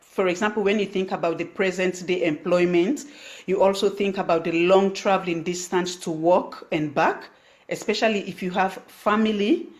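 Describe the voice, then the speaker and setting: A woman with a Sierra Leonean accent talks on a live stream, her voice sitting at a middling pitch. She speaks English as a second language, full of "um"s and restarts, and breaks off often to thank viewers by name. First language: Krio. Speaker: online business coach. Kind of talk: Facebook live stream talk.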